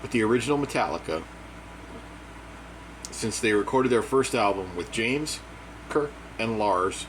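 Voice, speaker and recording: A man with a hoarse, dry voice giving a casual, opinionated monologue as a music commentator, plain-spoken and repeating his points. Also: fairly low pitch, expressive, measured pace, long pauses, rough recording. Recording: air conditioner hum behind.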